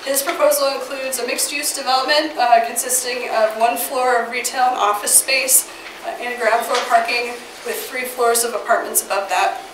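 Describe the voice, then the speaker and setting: A woman with a Midwestern American accent, getting over a cold with a slightly scratchy voice, briefs a city council as planning staff. Her delivery is steady and informative, with occasional fillers. She sits a bit high and away from the mic, and the chamber adds echo and a noticeable noise floor. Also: moderate pace. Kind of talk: staff report presentation. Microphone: far from the mic. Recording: echoey, distant, noisy chamber audio.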